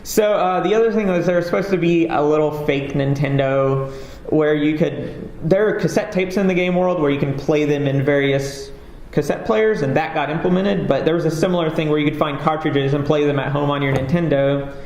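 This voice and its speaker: A man's voice: on the high side, brisk, hardly any pauses, darkish tone. A man giving a casual, rambling conference talk as a game developer, with lots of fillers and a self-deprecating manner. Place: conference stage. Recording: echoey hall with faint ambience.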